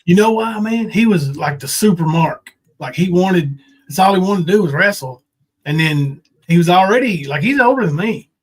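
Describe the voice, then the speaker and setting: A man's voice: sits high, animated, normal speed, breathy edge, low-quality recording. A man in his fifties hosting a podcast, chatting casually about old times with colloquial, folksy banter. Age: 50s. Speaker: podcast host, former pro wrestler. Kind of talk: casual reminiscing chat.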